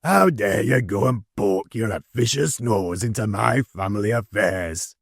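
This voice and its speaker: A man reading aloud and voicing a blustering, self-important rich man, loud, gruff and indignant. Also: lowish voice, animated, a little slow, no room sound, studio quality, full character voice. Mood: wrathful.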